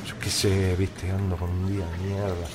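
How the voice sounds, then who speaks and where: A man's voice: low voice, level tone, normal speed, background noise, lightly acted. A man playing a moody, distracted factory worker in a radio drama, with a Rioplatense Argentine accent. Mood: downcast. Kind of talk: naturalistic radio drama acting with first-person narration.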